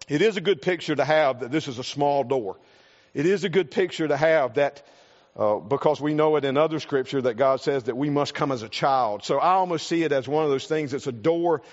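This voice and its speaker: A man with a South Carolina accent, preaching a sermon and telling a personal story in a folksy, earnest way. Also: mid-range voice. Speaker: pastor. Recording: reverberant hall, dull lo-fi sound.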